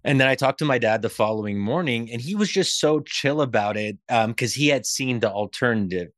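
A man's voice: medium pitch, lively intonation, brisk, a bit bright, no room sound. American man in a dry studio sound. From Pennsylvania, United States. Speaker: interview guest. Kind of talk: reflective political discussion.

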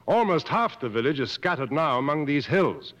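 An actor playing a seasoned, take-charge safari guide, matter-of-fact, in 1950s radio drama style. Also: male voice, on the high side, big pitch swings, normal speed, fairly bright tone. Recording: muffled old 1950s radio broadcast.

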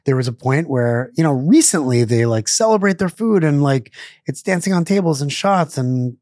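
A man's voice: fairly high pitch, no room sound, studio quality. A middle-aged man, a chef, talking casually in an interview, rambling with lots of fillers and restarts. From born in Israel, raised in Pittsburgh. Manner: rambling, many fillers and self-corrections.

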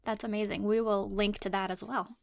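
A woman with a medium-pitched voice speaks as a podcast co-host. Her delivery is that of conversational hosting, addressed to guests and listeners. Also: little pitch movement, brisk, gravelly.

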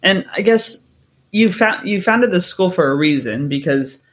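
An upbeat podcast host at a middle pitch, interviewing a guest casually. The host keeps things light with short, friendly affirmations between questions. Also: animated, a little slow.

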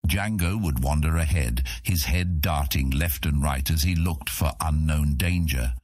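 A man narrating a scripted fantasy audiobook in a measured storytelling manner. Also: a bass voice, darkish tone, breathy edge, no echo, clean studio sound.

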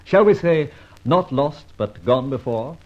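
A suave, mocking gentleman thief in a vintage radio play. His husky voice rolls through ironic, amused lines with plenty of rise and fall. The recording is muffled and lo-fi. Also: medium pitch, expressive, unhurried. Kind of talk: 1940s radio acting. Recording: dull lo-fi old radio recording.

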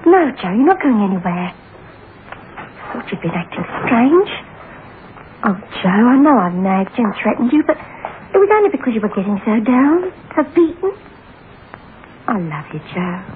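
A woman's voice: mid-range voice, very animated, normal speed, long pauses, close to an everyday voice. A woman playing a worried, loving but nagging wife in a 1960s radio drama, emotional and insistent. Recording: tinny old radio recording, faint noise.

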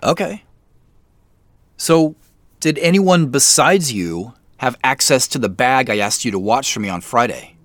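A man's voice: medium pitch, animated, unhurried, fairly bright tone, dry recording. A man playing a casual, probing detective in a naturalistic audio drama, dry and inquisitive. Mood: questioning. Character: dry, inquisitive male detective working a case.

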